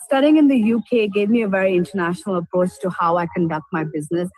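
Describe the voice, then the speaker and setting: A woman's voice: medium pitch, fast, darkish tone, no echo, faint music behind, poor audio. A young woman, giving a personal testimonial as a graduate who studied abroad. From India. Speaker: business graduate, study-abroad alumna.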